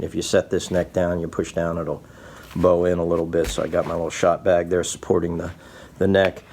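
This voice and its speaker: A man's voice: sits low, gravelly, darkish tone. A man in his fifties giving a casual, folksy workshop tutorial, patiently explaining each step as a guitar repair technician. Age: 50s. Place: small indoor guitar workshop. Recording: faint background room noise.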